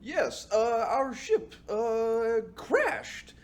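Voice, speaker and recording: A man's voice: very high voice, very animated, very slow, voice pushed up, heavily acted. A man voicing a timid, fibbing hero with a hesitant, nervous delivery in a comic read-aloud skit. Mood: nervous lying. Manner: hesitant, stammering.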